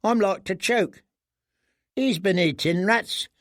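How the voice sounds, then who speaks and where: A man's voice: sits high, slow pace, a bit bright, dry recording, studio quality, voice pushed up. A man voicing callous young jailer boys in an audiobook, crude and blunt in manner. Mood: mocking disgust.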